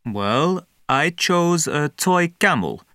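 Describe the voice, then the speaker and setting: A man's voice: a little high, animated, takes it slowly, pushed and bright, dry recording, studio quality. A man from Egypt talking with a partner in a paired speaking-task discussion.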